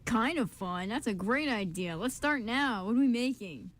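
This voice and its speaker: A woman's voice: fairly high pitch, expressive, moderate pace, close to an everyday voice. A woman voicing an easygoing, friendly, upbeat young man with a casual, reassuring way of talking in an amateur radio drama.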